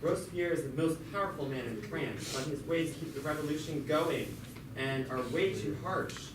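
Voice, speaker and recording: A man's voice: fairly high pitch, moderate pace, close to an everyday voice. Man acting as sardonic revolutionary narrator, conversational, stumbling, amateur puppet show, distant echoey recording. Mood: earnest. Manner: conversational, sardonic asides, stumbling over words. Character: chatty jailed French revolutionary man telling his story. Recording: echoey, very noisy, distant mic.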